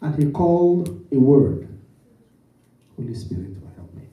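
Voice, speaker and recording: Man, emcee, lively swooping delivery, echoey hall, distant mic. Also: medium pitch, unhurried. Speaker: master of ceremonies. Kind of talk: event hosting and announcements.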